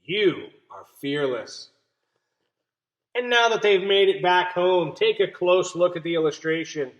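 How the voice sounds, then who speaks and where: Male read-aloud teacher: lighter, raised pitch, leisurely delivery, explanatory, asking young listeners questions. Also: a bit bright.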